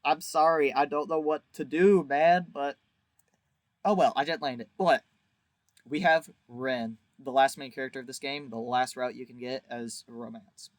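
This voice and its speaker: A man's voice: medium pitch, fairly even intonation, moderate pace, no echo. A man giving a casual, rambling video review as host, with a crude, jokey, exaggerated way of talking.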